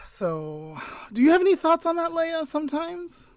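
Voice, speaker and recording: A woman hosting a casual podcast conversation, thoughtful and halting as she searches for words. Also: extremely high pitch, sing-song, no echo. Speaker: podcast host.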